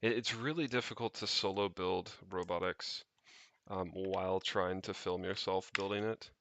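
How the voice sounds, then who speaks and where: A man's voice: sits low, steady tone, normal speed, fairly bright tone, muffled recording. A man chatting off the cuff on a livestream, rambling and thinking aloud with casual swearing as he tinkers. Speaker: streamer, tech hobbyist. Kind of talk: casual unscripted livestream chat while tinkering. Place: indoors, rented home.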